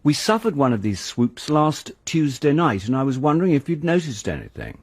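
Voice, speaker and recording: A man voicing an officious, over-bright Neighbourhood Watch caller who is polite and persistent, in a radio drama. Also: fairly high pitch, huge pitch swings, a little fast, no echo, pitched above the performer's own voice, exaggerated voice. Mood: chirpy, officious.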